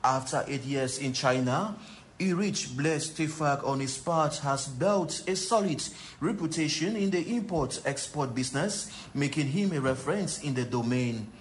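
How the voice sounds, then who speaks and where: Higher-pitched Cameroonian male reporter. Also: lively intonation, unhurried, a little hiss or hum, rough recording. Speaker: news reporter. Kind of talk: scripted report narration.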